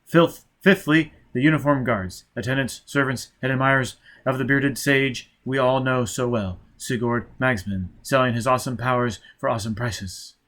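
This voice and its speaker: An audiobook fugitive acted by a man with a medium-pitched male voice. He is driven and a little unhinged, with an insistent, mocking edge. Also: moderate pace, hardly any pauses, a bit bright, close to an everyday voice. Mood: hysterical chanting. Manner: chanting, insistent.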